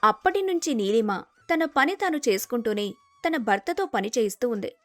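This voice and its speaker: A woman's voice: on the high side, lively intonation, normal speed, dry recording, faint music behind. A woman storyteller reading a scripted story narration.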